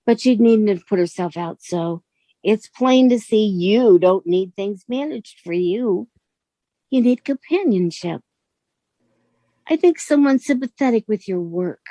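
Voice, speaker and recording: An actress playing a gentle, lonely bookshop woman, earnest and shyly admiring, in a radio suspense drama. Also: medium pitch, no room sound. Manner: soft-spoken, earnest, flattering.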